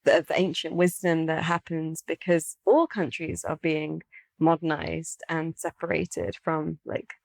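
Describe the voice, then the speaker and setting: A woman hosts a reflective podcast conversation in a slightly low voice. She phrases things thoughtfully, pausing as she goes. The sound is very dry, with no room around it. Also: level tone, creaky, darkish tone, no room sound. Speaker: podcast host.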